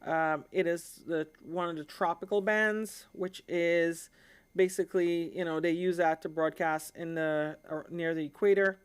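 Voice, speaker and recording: A woman's voice: fairly low pitch, fairly even intonation, a little slow, smooth. A woman from the Caribbean hosting a live stream, explaining things in an informal, conversational way and often checking in with her listeners.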